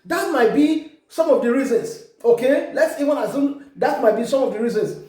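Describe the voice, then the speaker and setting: A woman's voice: sits high, lively intonation, moderate pace, mellow. Emphatic Yoruba-accented woman, very echoey room. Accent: Nigerian Yoruba. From Ekiti, Nigeria. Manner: emphatic, repeats words and restarts often. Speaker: online commentator. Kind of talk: casual opinion monologue.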